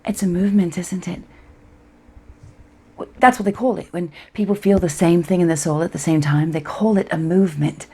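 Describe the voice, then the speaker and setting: Actress as disillusioned, wry young woman for stage monologue. Low, creaky voice, intimate and reflective. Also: fairly even intonation, moderate pace, warm tone. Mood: wistful.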